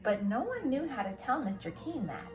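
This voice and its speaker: High, animated woman reading a storybook. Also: normal speed, a little hiss or hum. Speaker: teacher reading aloud to children. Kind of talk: expressive storybook narration. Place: at a kitchen table at home.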